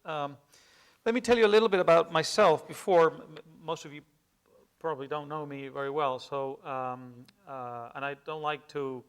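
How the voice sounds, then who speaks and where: A middle-aged man from Peru, a native Spanish speaker, giving a public lecture in a measured, hesitant way with many fillers and restarts. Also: on the high side, smooth. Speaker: guest lecturer. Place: lecture hall with an audience.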